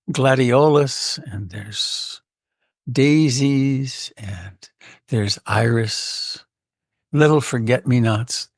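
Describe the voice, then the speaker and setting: A man leading a guided meditation as a teacher, speaking gently and unhurriedly with frequent pauses. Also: medium pitch, no echo, clean studio sound.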